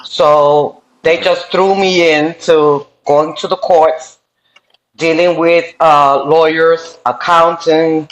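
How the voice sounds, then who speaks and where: A woman's voice: deep voice, fairly even intonation, slow, muffled recording. Middle-aged nyc woman, spanish plus new york accent, earnest guest talk w lots of ums, echoey room. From New York City. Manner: earnest, with frequent um fillers and restarts. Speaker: podcast guest. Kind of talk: telling her life story in an interview. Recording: roomy echoey room sound.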